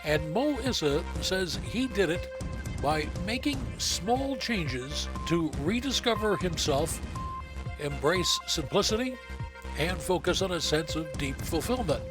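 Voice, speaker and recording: A man hosting an interview podcast, reading a scripted intro and asking measured questions. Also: sits high, sing-song, over music. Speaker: podcast host.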